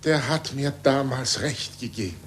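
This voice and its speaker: An actor playing an impassioned, stubborn scientist with emphatic, rhetorical delivery in a 1940s German radio play. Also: male voice, mid-range voice, normal speed, lightly acted. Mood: eager, impatient. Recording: faint noise and hum, vintage radio.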